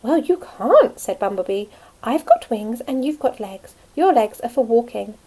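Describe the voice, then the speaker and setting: A woman's voice: fairly high pitch, sing-song, moderate pace, dark tone, low-quality recording. A woman voicing a blunt, matter-of-fact bumblebee in a children's storybook. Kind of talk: children's storybook read aloud.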